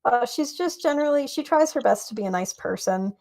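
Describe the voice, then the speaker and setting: A woman's voice: on the high side, animated, fairly quick, a little mellow. A young woman answering questions as a guest in a casual online interview, rambling with fillers and playful asides. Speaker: interview guest, roleplay player.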